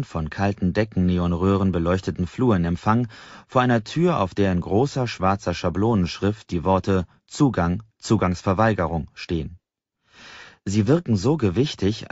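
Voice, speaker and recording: Deep-voiced male audiobook narrator. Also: no echo, lo-fi sound. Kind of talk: scripted first-person novel narration.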